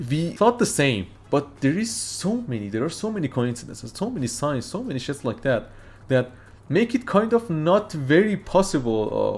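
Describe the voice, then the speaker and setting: A man whose first language is Farsi, hosting a casual reaction video and arguing his point in a rambling, excitable way with many fillers. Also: medium pitch, creaky.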